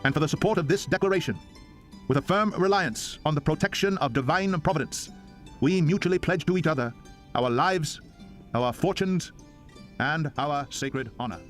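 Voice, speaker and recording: A man's voice: fairly high pitch, moderate pace. A man giving a solemn, impassioned dramatic reading of a formal historical document, in a declamatory manner. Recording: stirring music under the voice. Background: music.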